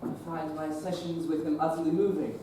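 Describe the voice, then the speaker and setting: A young man playing a pious Victorian bishop in a stage play, earnest and gentle. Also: a little high, little pitch movement, brisk, noisy background, close to an everyday voice. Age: young adult. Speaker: stage actor. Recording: echoey theater from far off.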